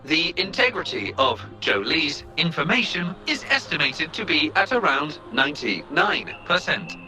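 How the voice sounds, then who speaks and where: A man's voice: a little high, very animated, normal speed, pushed and bright, faint music behind, exaggerated voice. A man voicing a small helpful robot assistant in a video game, speaking in formal, literal report phrases. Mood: formal, matter-of-fact.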